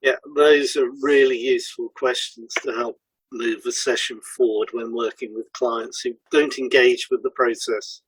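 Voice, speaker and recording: A man's voice: mid-range voice, little pitch movement, moderate pace, husky, dry recording, rough recording. A man co-hosting a scripted podcast, explaining ideas for therapists. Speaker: podcast co-host and hypnotherapist.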